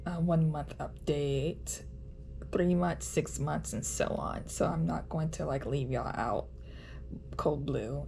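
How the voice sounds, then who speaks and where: A woman giving a casual vlog update in a nasal voice, chatty and halting with frequent ums. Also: sits low, gravelly, noisy background. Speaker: vlogger.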